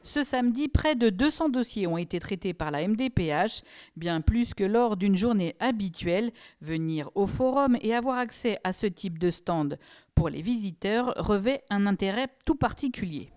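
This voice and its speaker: A woman's voice: mid-range voice, lively intonation, normal speed, darkish tone. A woman reading a scripted voice-over for a local news report, as a reporter.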